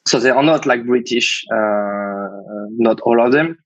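A man's voice: mid-range voice, measured pace, no echo, lo-fi sound. A French man with a French accent, a chef guest in a casual podcast interview, speaking hesitant English that he is still searching for. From France.

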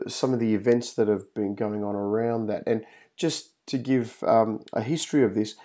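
A man's voice: on the low side, fairly even intonation, moderate pace, dark tone. A man hosting an interview, asking long rambling questions with many fillers. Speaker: host. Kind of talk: interview host.

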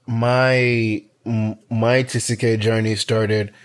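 A man's voice: medium pitch, little pitch movement, takes it slowly, clear, resonant tone. A man in his twenties from Kenya, telling a personal story in casual conversation with many restarts. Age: 20s. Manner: storytelling, frequent restarts and fillers.

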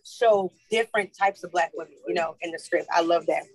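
A woman's voice: lowish voice, fairly quick, low-quality recording. A woman being interviewed as a cast member, chatting casually. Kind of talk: casual chat.

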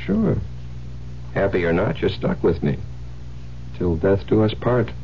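An American actor playing a sardonic, murderous newlywed husband in a radio drama, smooth-talking and teasing with faint menace. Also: male voice, low-pitched, big pitch swings, measured pace, over music, exaggerated voice. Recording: dull, noisy old radio recording with hum.

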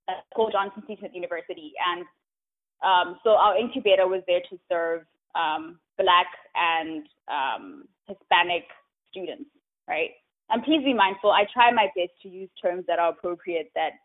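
A woman from Africa, speaking as an interview guest in a thoughtful, casual way, often pausing on "um" and checking in with "right?". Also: lowish voice. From South Africa. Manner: thoughtful, many "um"s and "right?" tags. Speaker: guest, tech community co-founder. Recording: tinny phone-like line.

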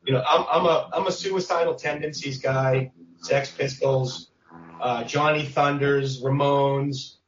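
A man's voice: mid-range voice, fairly even intonation, unhurried. Man from across a noisy, echoey room. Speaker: band guest. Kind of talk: casual interview chat. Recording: roomy, distant, noisy muffled sound.

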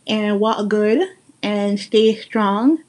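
A woman in her thirties from Guyana with a Guyanese accent, hosting a solo podcast in an earnest, emphatic way, slowing down to stress her points. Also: medium pitch, rough recording. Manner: earnest and emphatic, with slow deliberate stresses and warm laughs. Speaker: podcast host. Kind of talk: casual solo podcast monologue.

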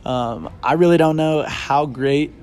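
A young man hosting his first podcast in casual chat, earnest and halting, with frequent ums and restarts, recorded in a bookstore. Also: a little high, darkish tone. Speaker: podcast host. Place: bookstore children's section.

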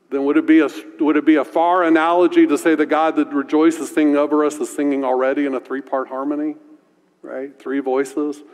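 A male pastor with a deep voice preaching a sermon in a conversational way, often asking "right?". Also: darkish tone, in a large hall.

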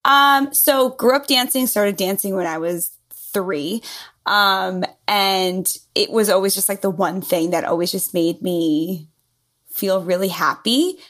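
A woman from New York with a New York accent, a fitness entrepreneur chatting as a podcast guest, laughing often between quick asides. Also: medium pitch, a bit bright. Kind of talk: casual interview talk.

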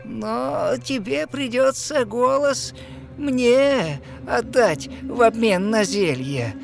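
A man voicing a cunning, ruthless female sea witch in a verse fairy-tale audio play, coaxing and deliberate. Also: extremely high pitch, lively intonation, creaky, soft background music, pitched above the performer's own voice, exaggerated voice. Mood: sly bargaining. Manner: slow, drawn-out, deliberate coaxing delivery.

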